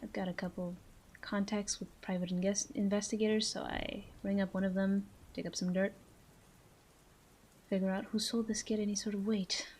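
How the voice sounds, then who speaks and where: A woman playing a flirty, menacing female mob boss in a roleplay audio drama, teasing and deliberate, with breathy asides. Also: mid-range voice, little pitch movement, a little mellow, slight background noise, close to an everyday voice. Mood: smooth, businesslike.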